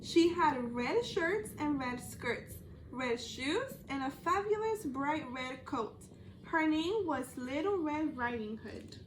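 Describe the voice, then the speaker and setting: A woman student teacher reading a storybook aloud to young children, deliberate and pausing to question her listeners. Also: high voice, expressive, a little hiss or hum, rough recording.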